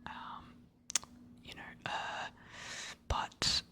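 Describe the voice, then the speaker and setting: An ASMR creator whispering answers to subscriber questions in a soft, hesitant, apologetic way. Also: drawn-out words.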